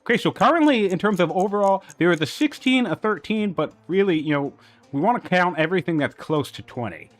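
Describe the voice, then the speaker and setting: A man's voice: high-pitched, expressive, brisk. A man casting a strategy game tournament, working through numbers aloud with frequent "um" and "you know", still a little sniffly after a cold. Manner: analytical, thinking aloud with fillers. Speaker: tournament caster.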